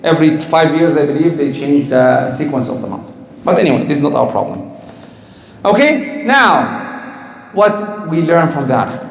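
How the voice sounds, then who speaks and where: A man's voice: a little high, sing-song, a little slow, vocal fry. A man giving a religious talk to a congregation, asking the listeners questions and answering them himself. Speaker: Islamic teacher. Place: community hall or mosque in Canada. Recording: echoey hall with long reverb.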